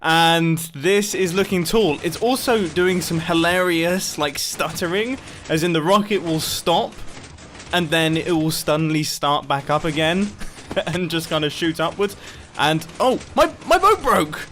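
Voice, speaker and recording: A young man giving casual, excitable commentary over a video game, laughing often and joking about himself. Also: a little high, unhurried, slight background noise. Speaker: gaming YouTuber.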